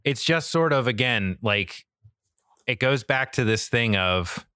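A man's voice: medium pitch, lively intonation, measured pace, pushed and bright, smooth, no room sound, clean studio sound. A man co-hosting a podcast, chatting casually and emphatically in slangy, mildly profane language. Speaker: podcast co-host. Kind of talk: casual chat about video games and media.

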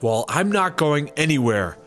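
An actor playing a wary, sensible startup worker, doubtful and plain-spoken, in a sci-fi comedy audio drama. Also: male voice, on the high side, big pitch swings, a little slow, fairly bright tone, soft background music. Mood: defiant.

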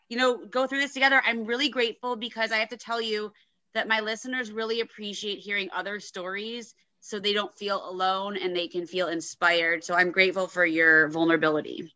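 A woman's voice: fairly high pitch, sharp tone. A woman hosting a podcast as an interviewer and coach, speaking on a slightly muffled recording. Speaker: podcast host and divorce coach. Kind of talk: podcast interview with a scripted intro.

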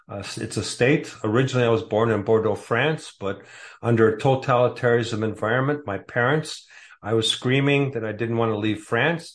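A man in his sixties from the Detroit area, a guest author answering interview questions casually and hesitantly, with many fillers. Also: medium pitch. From Metro Detroit area, USA.

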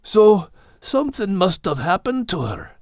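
A man voicing a frightened, grieving young male puppy in an audiobook, with a plaintive, halting delivery. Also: high-pitched, very animated, a little mellow, no room sound, studio quality, voice pushed up, full character voice. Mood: sad, pleading. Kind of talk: audiobook character voice.

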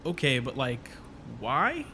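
An actor playing a casual, sarcastic, upbeat technician in a sci-fi audio drama. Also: male voice, mid-range voice, wildly swooping pitch, takes it slowly, pushed and bright, exaggerated voice. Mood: skeptical. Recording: clear background noise, sound effects.

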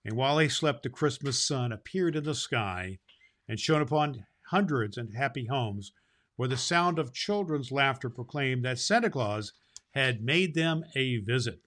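Man, storybook host, expressive reading for children, mid-pitched, bright and forceful. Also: measured pace. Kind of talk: storybook read aloud with informal host talk.

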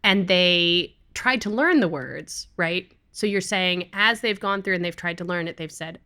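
A woman podcast host asking questions and summing up her co-host's points in a quick, engaged way. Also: fairly low pitch, sharp tone, clear, resonant tone. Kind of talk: interviewing, casual chat.

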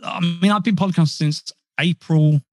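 A man's voice: a little high, normal speed, a little mellow. A man chatting as a podcast guest, rambling and self-deprecating with frequent restarts. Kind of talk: casual interview talk. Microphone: podcast mic.

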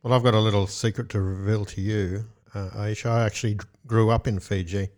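A man's voice: lowish voice, fairly even intonation, fairly quick, darkish tone. A man hosting a radio interview, friendly and a bit hesitant, with frequent restarts. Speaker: radio host.

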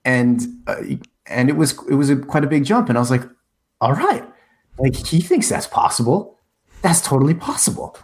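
A man's voice: mid-range voice, very animated, moderate pace. A man in his forties who grew up in the United States, a guest in a casual interview, talking reflectively with frequent restarts and fillers. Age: 40s. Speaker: guest, corporate communication coach. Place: in the Czech Republic.

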